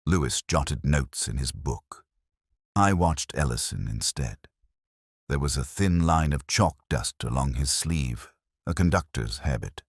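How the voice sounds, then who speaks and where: A man reads a scripted first-person detective story in a measured, literary way with deliberate pauses. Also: extremely low pitch, expressive, breathy edge, dry recording, clean studio sound. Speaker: narrator.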